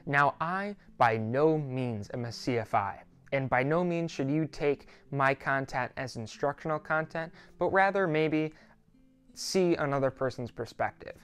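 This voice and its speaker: A man's voice: mid-range voice, fairly bright tone, clear, resonant tone. A sixteen-year-old young man talking to camera as a host, explaining things in a reassuring, conversational way. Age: 16, teenager. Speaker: student pilot YouTuber, host. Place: small quiet home office, indoors.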